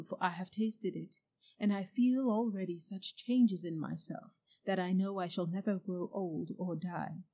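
A woman reading a storybook aloud and voicing a proud, cruel witch who speaks loudly and coaxes the listener. Her voice is dark and husky. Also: lowish voice. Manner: loud, commanding and coaxing.

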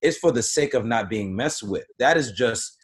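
A man talking reflectively in a casual podcast discussion, often pausing and restarting his thoughts. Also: mid-range voice, expressive.

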